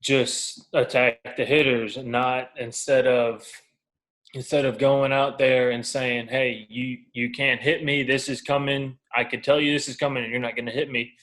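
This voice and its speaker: Young man, twenties, medium pitch, casual interview chat, candid, colloquial athlete. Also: level tone, a little slow, a bit bright, low-quality recording. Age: 20s. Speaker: professional baseball pitcher being interviewed.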